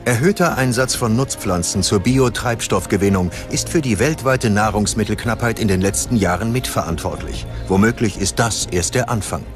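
Guy doing grave doc narration, lowish voice, music going loud underneath. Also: moderate pace, few pauses. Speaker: documentary narrator. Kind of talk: scripted narration. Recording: prominent music bed under voice-over.

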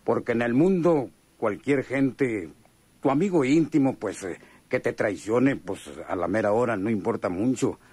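A man's voice: medium pitch, a little slow, darkish tone, husky, dry recording. A Mexican man acting a chummy, sly, hardened convict in a radio drama, talking in slangy, hesitant phrases. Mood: chummy, curious. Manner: slangy, hesitant drawl with knowing chuckles.

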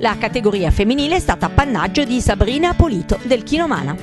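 A woman narrating a sports news report in an even, formal reading voice. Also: a little high, fast talker. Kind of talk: scripted sports news voice-over. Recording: voice-over over loud music.

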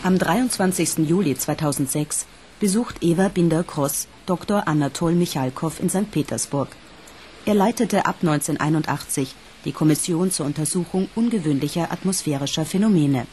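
Low, mellow actress as female documentary narrator. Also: a little hiss or hum, natural voice. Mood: sober. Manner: factual, measured. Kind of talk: sober documentary-style scripted narration.

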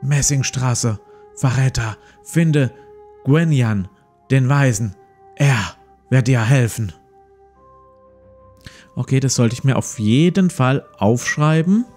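A man's voice: medium pitch, slow, long pauses, a little mellow. A man in his forties hosting a let's play, reading a gamebook aloud and commenting casually. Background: plucked guitar music.

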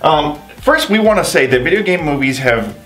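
A man's voice: fairly high pitch, animated, moderate pace, a bit bright, soft background music. A man in his thirties chatting casually as co-host of a video game show. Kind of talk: casual scripted-topic chat.

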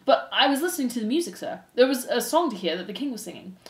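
A small, earnest young male mouse from a storybook , acted by a woman. He sounds soft, innocent and polite, with a lilting, slightly high voice and noticeable room echo. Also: very animated, quick pace. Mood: earnest, meek.

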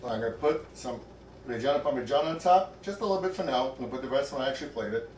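A man's voice: medium pitch, lively intonation, racing, darkish tone, slight background noise. A middle-aged man hosting a cooking show in a home kitchen, chatty and joking as he demonstrates a recipe.

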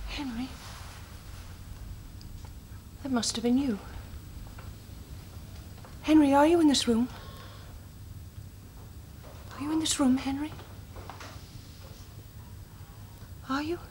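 A woman's voice: sits high, unhurried, long pauses, darkish tone, a little hiss or hum. A woman playing a lonely, agitated widow in an intimate drama, hesitant and questioning, often repeating herself. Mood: anxious searching. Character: lonely, agitated widow talking to her dead husband. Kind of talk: intimate dramatic monologue acting.

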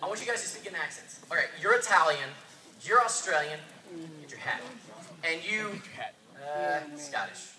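A man's voice: a little high, normal speed, lightly acted. A man playing a bossy, exasperated director in a comedy sketch, stammering and repeating his orders impatiently. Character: bossy, excitable, exasperated male director running rehearsals. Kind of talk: improv-style sketch acting. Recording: echoey noisy room, distant mic, audience.

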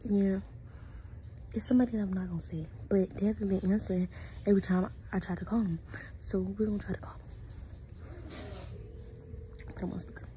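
Young female vlogger, American, dark soft-edged tone, casual slangy delivery, handheld phone sound with slight room noise. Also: medium pitch, steady tone, low-quality recording. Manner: informal, slangy, halting, drops to hushed asides. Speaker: vlogger making prank calls. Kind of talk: casual chat to camera. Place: small indoor room. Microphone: phone held at arm's length.

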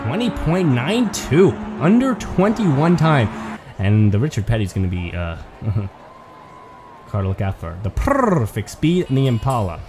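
A man giving play-by-play commentary on a toy car race, building excitement at close finishes. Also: medium pitch, a little slow, background noise.

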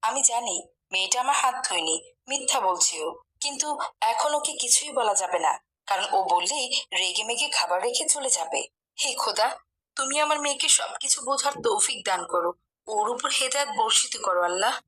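Woman playing a pious, worried cartoon mother, bright voice. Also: on the high side, expressive, fairly quick, rough recording. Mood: resigned, then prayerful. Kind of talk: cartoon dialogue.

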